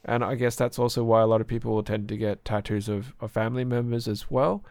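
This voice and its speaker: Male podcast co-host, hoarse from a sore throat, casual and rambling, gravelly and dry. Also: medium pitch, fairly even intonation, fast, a little mellow, no echo. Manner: chatty, rambling with fillers.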